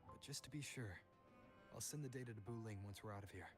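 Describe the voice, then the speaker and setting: Man as a low-voiced, composed researcher. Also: fast, music in the background, natural voice. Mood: calm, analytical. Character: calm, analytical adult male researcher. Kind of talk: game voice acting.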